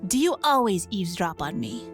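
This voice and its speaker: A woman playing a stubborn yet vulnerable young mech pilot in an audio drama, speaking haltingly. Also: medium pitch, big pitch swings, soft background music, natural voice. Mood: sheepish. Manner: halting, unsure.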